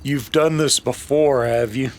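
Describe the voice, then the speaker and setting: A man's voice: mid-range voice, steady tone, measured pace, natural voice. A man playing a wounded, grumbling, dry-witted cowboy in an old-time western radio drama, sounding exasperated and sardonic.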